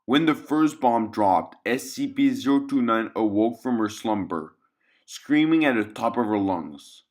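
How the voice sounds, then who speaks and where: Man narrating a scripted clinical report. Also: lowish voice.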